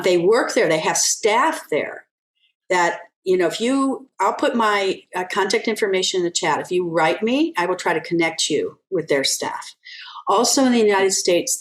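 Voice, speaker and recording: Low-voiced woman advising on a video call. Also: rough recording. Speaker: webinar guest speaker, philanthropist. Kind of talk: conversational advice on a video call.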